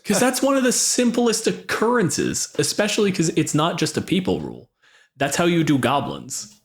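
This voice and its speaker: A man's voice: sits high, very animated, moderate pace. A man in a casual, opinionated tabletop gaming chat, explaining things emphatically and swearing freely. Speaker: podcast panelist and game master.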